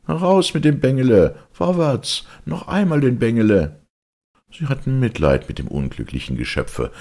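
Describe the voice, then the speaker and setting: A man narrating a children's storybook as an expressive audiobook reader. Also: very deep voice, creaky, breathy edge.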